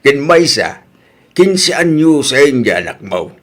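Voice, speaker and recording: A man's voice: medium pitch, big pitch swings, a little slow, a bit bright. A man acting an earnest grown family man who reproves and pleads, in an Ilocano radio drama. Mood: angry.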